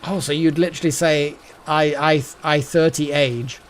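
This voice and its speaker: A man's voice: fairly high pitch, steady tone, moderate pace, a little hiss or hum. A man in his thirties chatting casually on a live game stream, rambling and repeating words as he muses aloud.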